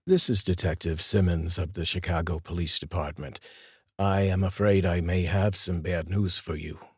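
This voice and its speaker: A male storyteller voicing a calm, formal police detective who speaks in a measured, soft tone. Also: low voice, slightly rough, no room sound, studio quality. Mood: somber.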